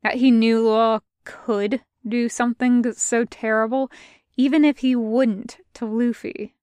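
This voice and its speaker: A young woman reading fan fiction aloud in a soft, measured narration. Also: on the high side, steady tone, clean tone, dry recording. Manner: soft, measured, hushed in tender passages. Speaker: podfic reader.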